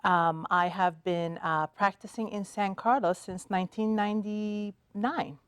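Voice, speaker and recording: A middle-aged woman from Iran, a podiatrist guest on a TV studio talk show, speaking in a measured way with many "uh" and "um" pauses. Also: mid-range voice, fairly even intonation, a bit bright, smooth, dry recording. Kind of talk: TV studio interview. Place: TV studio set.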